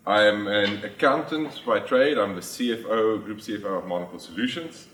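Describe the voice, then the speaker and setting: A man's voice: lowish voice, moderate pace, fairly bright tone. A middle-aged South African man, a native Afrikaans speaker, giving a conference presentation with frequent ums and restarts. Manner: frequent ums and restarts, plain explanatory delivery. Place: large conference hall. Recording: echoey hall, from far off the mic.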